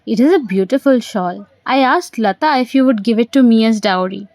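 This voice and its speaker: A woman's voice: fairly high pitch, animated, fairly quick, darkish tone, no echo, clean studio sound. A woman voicing a polite, curious young man, a prospective son-in-law, in an audio play. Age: young adult. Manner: earnest, deferential, questioning.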